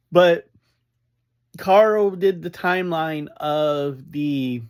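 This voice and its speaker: A man with a slightly high voice talks very slowly through a casual fan review. His phrasing is halting, broken up by pauses, like someone explaining things off the cuff to listeners. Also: clear, resonant tone. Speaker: podcast reviewer.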